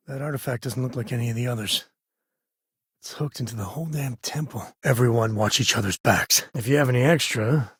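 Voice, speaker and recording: An actor voicing a wry, easygoing space ranger and father in a video game, with a husky, raspy voice and a folksy drawl. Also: mid-range voice, no room sound, studio quality. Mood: urgent, alarmed. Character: laid-back frontier space ranger, doting father, wry and plainspoken. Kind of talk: video game character dialogue.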